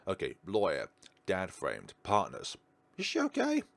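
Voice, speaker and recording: Low-voiced man doing lively game commentary. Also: unhurried, vocal fry, dry recording. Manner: mixes narrated reading with casual offhand remarks. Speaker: host of a game playthrough. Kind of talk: let's play commentary, reading visual novel text aloud.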